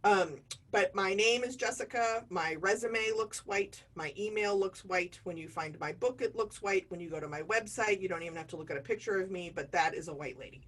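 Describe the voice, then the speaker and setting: A woman's voice: medium pitch, fairly quick, hardly any pauses, fairly bright tone. Chatty self-deprecating woman in her forties. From United States. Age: 40s. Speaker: diversity trainer. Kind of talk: online training lecture.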